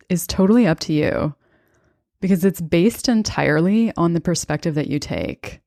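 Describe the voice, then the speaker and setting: A low-pitched woman in her thirties speaks in a calm, thoughtful, conversational way, telling a personal story on her podcast. The sound is close and dry, with no room around it. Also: no echo. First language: bilingual English and Estonian. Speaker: podcast host and coach.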